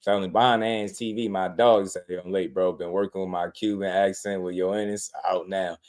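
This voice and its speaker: A man with a lowish voice hosts a casual boxing talk, loose and slangy. He gets animated and laughs easily as he riffs. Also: moderate pace. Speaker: boxing podcast host.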